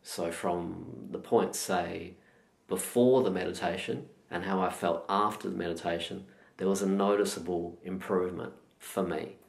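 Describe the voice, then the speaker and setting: A middle-aged Australian man, a meditation teacher, answering interview questions in a slow, measured and thoughtful way. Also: low-pitched, steady tone, gravelly. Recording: echoey room.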